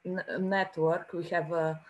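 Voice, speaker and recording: A woman from Slovenia introducing her street work organization, unhurried and lightly joking. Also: fairly low pitch, flat delivery. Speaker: street worker.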